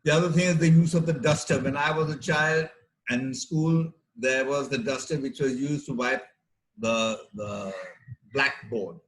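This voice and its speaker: A middle-aged man with an Indian accent, a doctor giving a health talk to teachers in a deliberate, measured, explanatory way with pauses between phrases. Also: mid-range voice, fairly even intonation, low-quality recording.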